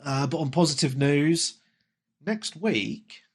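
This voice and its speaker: Stuffy-nosed podcast host. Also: male voice, fairly high pitch, slow, breathy edge. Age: 30s. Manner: chatty, self-mocking, frequent ums. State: stuffy with a head cold. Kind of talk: casual gaming podcast chat.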